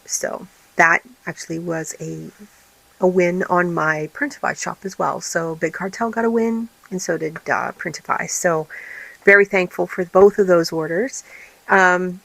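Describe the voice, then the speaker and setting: A woman hosting a casual vlog, her voice raspy and tired, rambling with many fillers and restarts. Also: on the low side, fairly even intonation, unhurried, a bit bright, low-quality recording. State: tired, recovering from illness. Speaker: vlog host and small craft business owner. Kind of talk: casual chatty vlog update.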